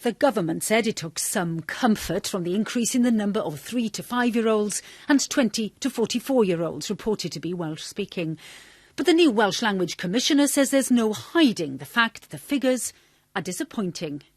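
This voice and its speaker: A woman reporting a broadcast news story in a measured, clear voice. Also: medium pitch, expressive, no room sound.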